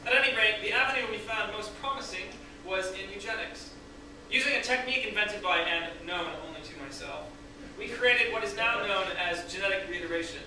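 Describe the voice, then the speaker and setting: Man acting a high-voiced smug scientist, echoey room. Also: brisk, natural voice. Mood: proud, formal. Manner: formal, lecturing, condescending. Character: smug Russian male scientist, proud, coolly clinical. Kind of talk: staged script read-through. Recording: echoey room, distant mic, noisy.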